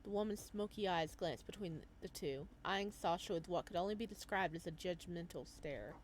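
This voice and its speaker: A woman hosting a video game playthrough, reading the story aloud as expressive narration and reacting as she goes. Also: on the low side, normal speed, slight background noise. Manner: expressive, slips into character voices. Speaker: gaming video host reading a visual novel aloud.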